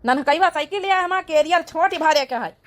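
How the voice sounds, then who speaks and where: Woman voicing a high-pitched cartoon village shopkeeper. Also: fairly quick. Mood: matter-of-fact. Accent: Awadhi. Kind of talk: Awadhi cartoon comedy dialogue.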